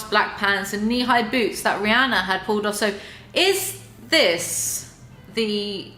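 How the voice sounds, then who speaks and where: Woman, sarcastic, mock-dramatic reader, bright crisp tone, echoey room. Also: medium pitch. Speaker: YouTuber reading and mocking a book. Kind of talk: dramatic reading with sarcastic commentary. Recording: roomy echo.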